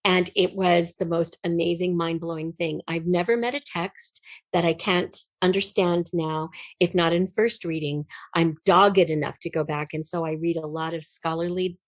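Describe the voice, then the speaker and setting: A middle-aged American woman with a somewhat low voice, recorded dry and close to the room's silence. She tells personal stories conversationally, with an animated, expressive delivery. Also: normal speed, no echo. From western United States. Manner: animated, acts out remembered dialogue. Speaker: podcast guest, writer. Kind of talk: conversational personal storytelling in an interview.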